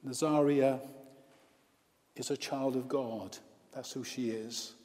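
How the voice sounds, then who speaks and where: A male priest and hospital chaplain preaching a baptism sermon, slow and emphatic, with repeated lists. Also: medium pitch, steady tone, a little mellow. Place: church with long reverb. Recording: reverberant church hall.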